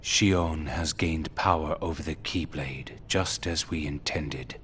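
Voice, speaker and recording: Cold, extremely deep-voiced villain. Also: male voice, slow, a bit bright, slight background noise, full character voice. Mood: calculating. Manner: curt, detached, formal. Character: cold, aloof male second-in-command of a dark order. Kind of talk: video game cutscene acting.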